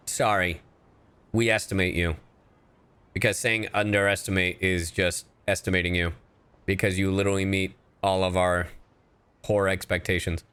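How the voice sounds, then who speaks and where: A man's voice: low-pitched, little pitch movement, moderate pace, long pauses, a bit bright, clear, resonant tone. A man giving casual, crude and teasing commentary as he streams a game. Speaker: livestreamer. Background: video game music.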